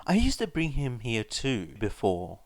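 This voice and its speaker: A man's voice: mid-range voice, wildly swooping pitch, a little slow, dry recording. A man voicing a cheeky, chatty teenage ninja boy with a casual, breezy manner in a fan fiction reading.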